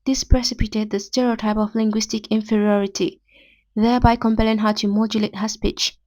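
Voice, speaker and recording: A woman's voice: medium pitch, flat delivery, darkish tone, no echo. Nigerian woman reading a formal essay. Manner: formal, academic wording, measured reading. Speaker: podcast host. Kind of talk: reading a prepared literary essay.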